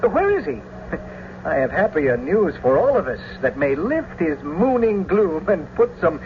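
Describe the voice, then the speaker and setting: A witty, swaggering young gentleman speaks with jaunty flourish in a classical radio play. His voice is fairly high and very animated. The old broadcast is slightly muffled. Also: unhurried, a little hiss or hum. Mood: cocky, jaunty. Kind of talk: classical radio drama acting.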